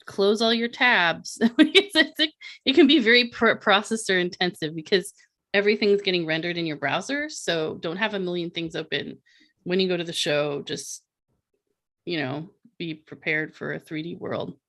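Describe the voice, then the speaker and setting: A woman presenting her art projects in a relaxed online panel talk, with many fillers and restarts, on a video call mic. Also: fairly low pitch, normal speed. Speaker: artist and presenter.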